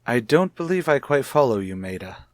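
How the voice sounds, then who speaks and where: An actor playing a cold, short-tempered, domineering husband in a 1950s radio soap, formal and condescending. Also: male voice, mid-range voice, very animated, moderate pace, dry recording, clean studio sound, lightly acted. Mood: irritated, dismissive. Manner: formal, condescending, clipped disapproval.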